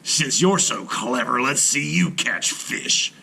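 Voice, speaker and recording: A man's voice: medium pitch, lively intonation, slow, vocal fry, husky, voice pushed up, heavily acted. A man voicing a jealous, scornful older brother in a storybook read-aloud. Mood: angry, jeering. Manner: sneering, growling, shouting.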